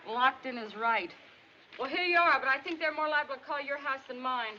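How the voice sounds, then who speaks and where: On a dull, slightly noisy old movie soundtrack, a tough young American showgirl. Her voice is highish and forceful, her delivery sassy and sardonic. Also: fairly quick. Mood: wry. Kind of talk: 1950s film acting.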